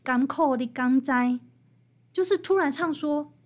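Taiwanese mandarin lady, kinda high voice, guest author explaining stuff, animated n emphatic. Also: measured pace. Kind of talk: expressive explanatory interview talk.

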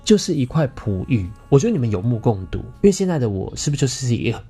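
A man's voice: mid-range voice, sing-song, quick pace, mellow, breathy edge, faint music behind. A young man with a Taiwanese Mandarin accent tells personal stories in a chatty, playful, self-teasing way, like a podcast host. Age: young adult.

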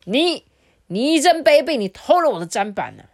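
Woman doing a furious shouting shopkeeper brother. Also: high-pitched, very animated, moderate pace, no room sound, studio quality, voice pushed up. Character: angry, squabbling grown brother shopkeeper.

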